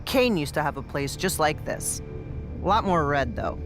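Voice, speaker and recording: Lively, mischievous woman wrestler. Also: low-pitched, moderate pace, music in the background. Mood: intrigued, admiring. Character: admiring, mischievous veteran female wrestler drawn to darkness. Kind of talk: video game cutscene acting.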